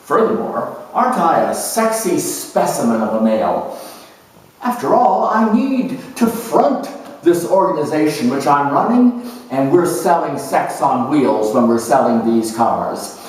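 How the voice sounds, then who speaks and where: A man's voice: fairly high pitch, sing-song, measured pace, darkish tone. A man playing a vain, pushy car-dealership boss who talks in a boastful, commanding, hard-selling way. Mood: brash, boastful. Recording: echoey room, distant microphone.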